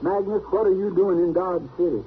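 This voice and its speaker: On a thin, noisy old radio recording, an actor plays an exasperated western sidekick with a folksy Southern drawl. His rather high voice rises and falls in querulous, bossy scolding. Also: fairly even intonation, fairly quick, phone-quality sound, natural voice. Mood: startled, puzzled. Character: exasperated, fussy, bossy frontier lawman's helper, adult man. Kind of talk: 1950s radio western acting.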